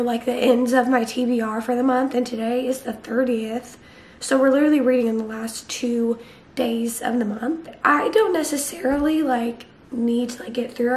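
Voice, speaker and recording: A young woman vlogging casually to camera from her bedroom about her reading, hoarse and losing her voice from a cold, chatty with lots of fillers. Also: a little high, echoey room, slight background noise. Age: young adult. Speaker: book vlogger. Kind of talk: casual vlog chat to camera. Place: small bright bedroom.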